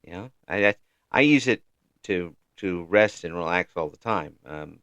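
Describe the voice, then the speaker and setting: Low, animated man in his fifties, chatting. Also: unhurried, no echo. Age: 50s. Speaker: interview show host. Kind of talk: casual chat interview.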